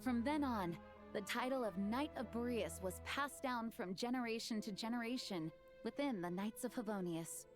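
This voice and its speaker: An actress voicing a dutiful female acting grandmaster in a video game, speaking in a clear, formal way. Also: on the high side, moderate pace, faint music behind, natural voice. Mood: narrating.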